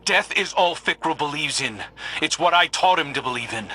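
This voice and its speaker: An actor playing a weary, regretful veteran warrior in a video game, speaking earnestly in a husky voice. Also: male voice, on the high side, lively intonation, moderate pace, pushed and bright.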